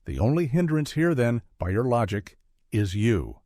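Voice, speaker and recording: A man's voice: medium pitch, big pitch swings, normal speed, mellow, no echo, studio quality. A man hosting a scripted satirical interview, blunt, sarcastic and confrontational in his retorts. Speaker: host, mock interviewer.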